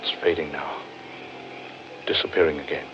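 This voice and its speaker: A man's voice: extremely low pitch, steady tone, normal speed. An actor playing a grim, fatalistic man lost in a haunted forest, with morbid, theatrical delivery in 1940s radio horror style. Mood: grimly insistent. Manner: brooding, ominous, theatrical. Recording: muffled, noisy vintage radio recording.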